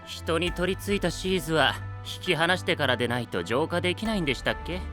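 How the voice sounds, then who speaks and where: Guy doing polite unruffled anime mentor knight, slightly high voice, very melodic, loud music under. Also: fast talker, natural voice. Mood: calm. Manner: courteous, unruffled formal speech. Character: composed, polite, coolly strict mentor knight. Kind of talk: Japanese anime voice drama acting.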